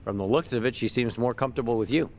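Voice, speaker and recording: A man's voice: mid-range voice, fast, darkish tone, a little hiss or hum, natural voice. An actor playing a streetwise, casual male sidekick, sceptical but helpful, in a video game. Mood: frank, casual.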